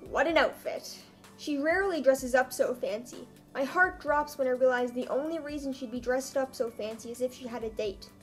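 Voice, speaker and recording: A young woman with a high voice reads a story aloud for comic effect. Her delivery is mock-dramatic and self-mocking, dropping into casual asides, with faint music underneath. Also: moderate pace. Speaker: vlogger reading her own old story aloud.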